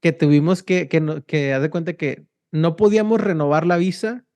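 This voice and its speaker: A young man from Mexico, hosting a casual interview and telling stories in a slangy, animated way. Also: a little high, normal speed, no echo. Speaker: podcast host.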